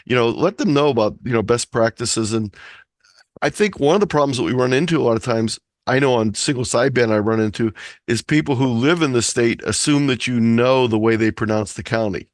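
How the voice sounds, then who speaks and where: A man's voice: mid-range voice, fairly quick, dry recording, studio quality. A man from Ohio giving an informal, explanatory presentation over a video call, speaking conversationally. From Ohio, United States. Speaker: presenter of an amateur radio talk. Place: online video meeting.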